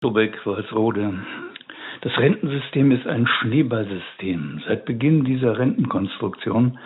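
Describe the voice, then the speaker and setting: A man calling a radio show, speaking bluntly and deliberately. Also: mid-range voice, breathy edge. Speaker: caller. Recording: phone line.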